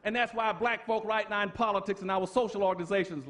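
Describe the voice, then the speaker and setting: A man's voice: high voice, fairly even intonation, fast talker, a bit bright. A man giving an impassioned public lecture, emphatic and preacher-like, firing off rhetorical questions.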